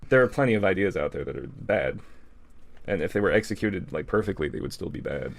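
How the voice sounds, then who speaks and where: A man's voice: low voice, lively intonation, fast talker, creaky. A man co-hosting, reading fan fiction aloud and breaking off to comment casually.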